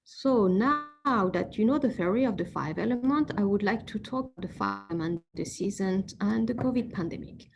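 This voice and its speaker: A woman's voice: medium pitch, lively intonation, moderate pace, creaky, few pauses, a little mellow, muffled recording, soft background music. A woman acupuncturist giving an informal online talk, explaining patiently with frequent fillers and self-corrections. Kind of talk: informal lecture with slides.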